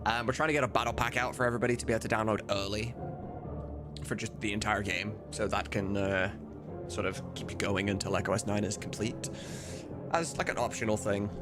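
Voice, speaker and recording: A man's voice: on the low side, fairly even intonation, a little fast, over loud music. A man hosting a casual livestream as a mod developer, rambling, blunt and sweary as he thinks aloud. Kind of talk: casual livestream chat while demonstrating.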